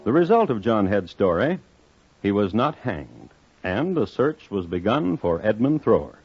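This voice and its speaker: An actor playing a wry, deadpan storyteller, narrating a 1950s radio crime drama. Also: male voice, on the low side, a little slow, husky, heavily acted. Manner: deadpan, droll. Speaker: narrator and host. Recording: muffled vintage 1950s radio recording. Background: music.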